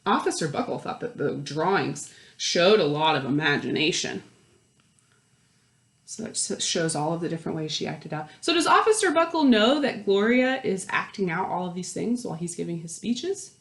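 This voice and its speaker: Animated woman reading a storybook, echoey room. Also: fairly low pitch, moderate pace. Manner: animated, asks young listeners questions and explains the pictures. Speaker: children's storytime reader. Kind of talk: expressive picture-book read-aloud with chatty asides.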